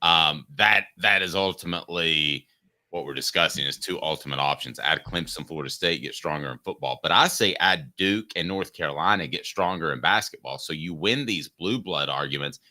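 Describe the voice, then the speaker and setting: Deep-voiced man in his fifties hosting sports talk. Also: moderate pace, sharp tone. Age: 50s. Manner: chatty, jokey, asks long questions. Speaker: sports talk show host.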